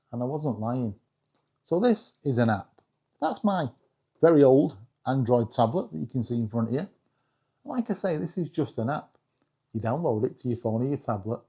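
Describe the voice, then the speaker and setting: A British man with a husky voice giving a casual, chatty hobby review with self-deprecating humour. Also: medium pitch, dark tone. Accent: British English. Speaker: video creator reviewing radios.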